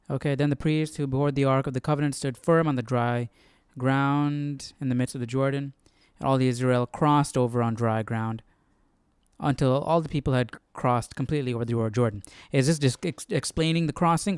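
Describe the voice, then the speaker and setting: Male livestream study host: smooth, clear, mid-pitched, casual, questioning, very dry sound. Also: fairly even intonation, normal speed, a little mellow, no echo. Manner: questioning, thinking aloud. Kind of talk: casual commentary and reading aloud.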